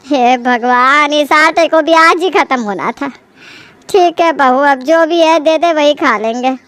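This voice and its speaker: A woman's voice: high voice, unhurried, a bit bright, no room sound. A woman voicing a grumbling older in-law in a cartoon family story, plaintive about the household. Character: grumbling older parents-in-law fond of rich food. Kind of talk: animated family story dialogue.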